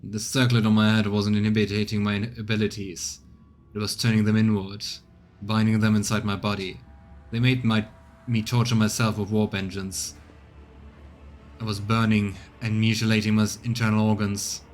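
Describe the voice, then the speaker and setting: A man voicing a proud, stubborn interrogator in a game, weakened by torture, speaking formally and haltingly in a strained, bitter way. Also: fairly low pitch, level tone, faint music behind, natural voice. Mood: pained, brooding. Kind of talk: acted reading of game dialogue.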